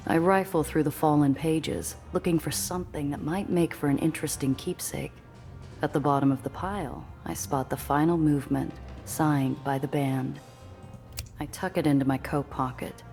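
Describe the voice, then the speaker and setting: A woman's voice: low voice, fairly even intonation, normal speed, darkish tone, faint music behind. A woman voicing a cool, observant heroine, narrating her own story in a scripted, wry way for a video game. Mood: composed. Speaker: narrator and protagonist.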